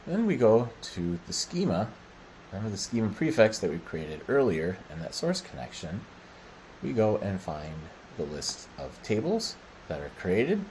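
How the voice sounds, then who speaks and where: A middle-aged American man presenting a software walkthrough, explaining step by step in short, halting phrases. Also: deep voice, slight background noise, poor audio. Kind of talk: screen-share product walkthrough.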